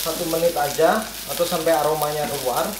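A man's voice: on the high side, fairly even intonation, a little fast, heavy background noise. A man in his thirties, a chef, cooking at home and explaining each step, asking questions and answering them himself. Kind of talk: casual cooking demonstration. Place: bright home kitchen, indoors. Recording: roomy kitchen with music behind. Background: background music.

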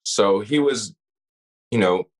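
A man's voice: fairly low pitch, slow pace. A man with a mixed Arabic-influenced English accent, a rapper as podcast guest, speaking thoughtfully with frequent restarts and fillers. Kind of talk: casual interview chat.

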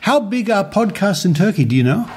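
A male podcast host talks in a relaxed, joking manner at a table. His voice sits a little high for a man, and clear background noise and clicks run through the recording. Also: a little fast. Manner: relaxed, jokey, chuckles between questions. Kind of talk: casual interview. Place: at a table with drinks being mixed.

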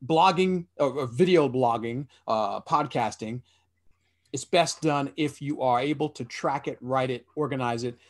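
Guy in his 40s on a headset call, earnest, keeps restarting sentences, explaining stuff. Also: medium pitch. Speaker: panelist. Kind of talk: panel discussion over video call. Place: small room indoors. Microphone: headset on a video call.

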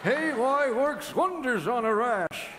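Grumpy crude old man, voiced by a man, forgetful, sudden shouts, high voice, very lively pitch, echoey hall, loud crowd laughter. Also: slow, heavy background noise, heavily acted. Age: older. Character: cranky, crude old man in a care home.

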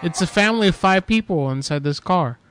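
A man in the middle of a radio prank call, his voice pitched high. He puts on panic and hammers away with accusations. There is a faint hiss behind him. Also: expressive, brisk. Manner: feigned panic, accusing and insistent.

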